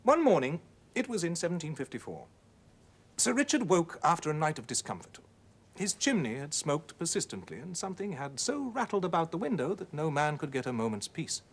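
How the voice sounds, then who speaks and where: A man reads a classic ghost story as scripted narration, in a measured, formal manner with deliberate dramatic pauses. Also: fairly high pitch, sing-song, husky. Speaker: narrator, audiobook reader.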